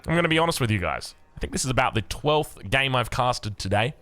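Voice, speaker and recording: A young Australian man casting a video game match, energetic and excitable, his voice a little hoarse from a long day of commentary. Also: a little fast, dry recording. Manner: excitable, energetic, big pitch swings. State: slightly hoarse. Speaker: game caster.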